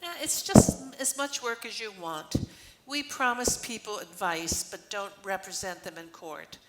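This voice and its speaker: A woman's voice: medium pitch, measured pace, slight background noise, natural voice, poor audio. An older woman reading the part of an earnest, explanatory legal clinic volunteer in an amateur play. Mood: earnest explaining.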